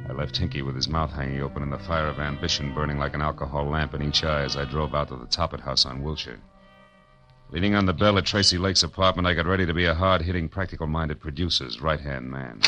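An actor playing a brash, sardonic American private detective in 1940s radio style, with clipped, wisecracking tough talk. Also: male voice, extremely low pitch, steady tone, fast, highs cut off, music in the background. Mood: wry narrating. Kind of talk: 1940s radio acting, first-person narration and dialogue.

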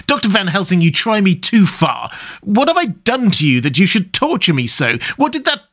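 A man's voice: high-pitched, wildly swooping pitch, moderate pace, a bit bright, voice pushed up, heavily acted. A man voicing a passionate, grieving young lord in an audiobook, impassioned and overwrought. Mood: outraged. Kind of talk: dramatic audiobook character reading.

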